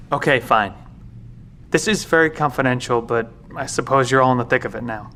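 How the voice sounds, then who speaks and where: Audio drama: weary, secretive male professor, played by a man, mid-range voice, measured explainer. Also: mid-range voice, pushed and bright, slight background noise, lightly acted. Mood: weary, reluctant. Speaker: professor, secret bunker guardian.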